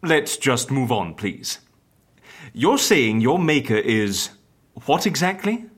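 A woman's voice: extremely low pitch, very animated, slow pace, full character voice. A woman playing a sceptical, exasperated agency interviewer in an audio comedy, with a dry, questioning and impatient manner. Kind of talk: scripted audio comedy drama.

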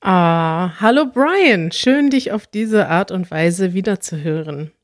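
A woman's voice: fairly low pitch, expressive, slow pace, no room sound. Chatty woman co-host, dry sound. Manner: chatty, thinks aloud, explains at length. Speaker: podcast co-host. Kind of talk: casual chat.

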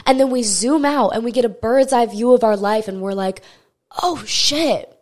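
A woman's voice: a little high, normal speed. A young woman with a stuffy nose hosting a solo podcast, talking candidly and earnestly, swearing casually as she goes. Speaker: podcast host.

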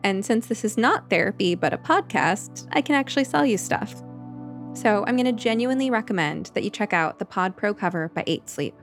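A woman podcast host, a therapist, reading a sponsor spot in a measured way, speaking straight to the listener. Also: medium pitch, animated. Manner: measured, direct address to listener. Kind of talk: scripted ad read. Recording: faint background music.

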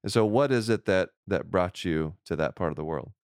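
Smooth, low-voiced man hosting a chat. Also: moderate pace, darkish tone, no room sound, clean studio sound. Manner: chatty and joking, many restarts. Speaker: podcast host. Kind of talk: casual interview chat.

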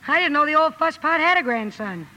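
A high, piercingly bright teenage tomboy, bold and impulsive. She talks in an eager, brash way, in 1950s radio drama style on an old broadcast recording. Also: lively intonation, brisk, poor audio. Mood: excited.

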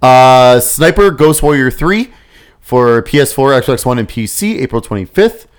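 A man's voice: medium pitch, animated, smooth. Guy cohosting a gaming podcast, bouncy voice, reads release dates, laughs a lot. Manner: laughs easily, lists releases with quick asides. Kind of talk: casual chat.

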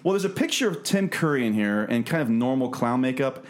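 A man's voice: mid-range voice, sing-song, a little fast. Wry male podcast co-host. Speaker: podcast co-host reading entertainment news. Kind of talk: casual panel chat about films.

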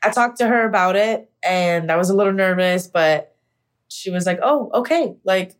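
A woman's voice: mid-range voice, lively intonation, normal speed. A young American woman from Pennsylvania telling a personal story candidly and conversationally, with frequent filler words like "like" and "you know". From Pennsylvania, United States. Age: young adult. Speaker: podcast guest, actor.

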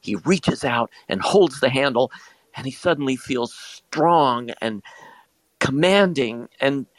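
A man's voice: mid-range voice, expressive, takes it slowly, no echo, poor audio. A man playing a shy, imaginative schoolboy in an audio drama, with a hesitant manner. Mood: dreamy. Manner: hesitant, apologetic. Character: shy, dreamy schoolboy who draws comics.

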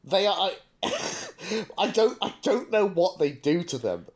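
A man's voice: high voice, very animated, slow pace. A British man co-hosting a podcast, chatting casually in a wry, mock-serious way. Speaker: podcast co-host.

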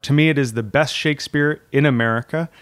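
A man's voice: a little high, a little fast, clean tone, no echo. A man, a stage actor, talking enthusiastically in an interview. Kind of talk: interview talk.

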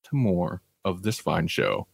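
Low-voiced man hosting entertainment chat, dry sound. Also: wildly swooping pitch, measured pace, creaky, husky, no echo. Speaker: podcast host. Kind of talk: casual entertainment news chat, partly reading articles aloud.

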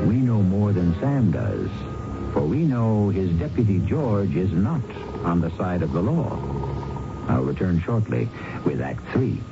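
Deep-voiced, dramatic male radio mystery host. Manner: measured, dramatic storyteller addressing listeners. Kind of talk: theatrical scripted narration. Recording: dull vintage radio broadcast with music.

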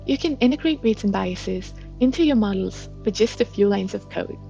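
A woman reading a scripted sponsor ad in a smooth, rehearsed way. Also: medium pitch, moderate pace, muffled recording. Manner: smooth, even, rehearsed delivery. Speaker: sponsor ad reader. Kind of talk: scripted ad read. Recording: distant voice over background music.

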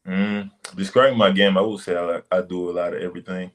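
A young man from Senegal, a basketball prospect, answering interview questions in loose, relaxed phrasing over a video call. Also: low-pitched, fairly quick. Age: young adult. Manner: relaxed, loose phrasing with many "like" and "you know".

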